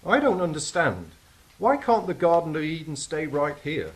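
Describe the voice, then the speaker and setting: An actor playing a skeptical, wisecracking angel in a stage drama. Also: male voice, fairly high pitch, sing-song, moderate pace. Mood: puzzled, skeptical. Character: skeptical, wisecracking angel on celestial clean-up crew. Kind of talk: theatrical stage drama acting. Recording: clearly noisy stage recording.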